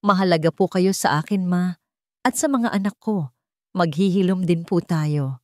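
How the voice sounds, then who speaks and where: A woman's voice: on the low side, normal speed, natural voice. A woman plays an earnest, pleading father in love from a romance storybook. He speaks tenderly and with feeling. The sound is dry, without room echo. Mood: forgiving. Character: remorseful grown man, earnest, tender widowed father in love. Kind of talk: audio storybook romance acting.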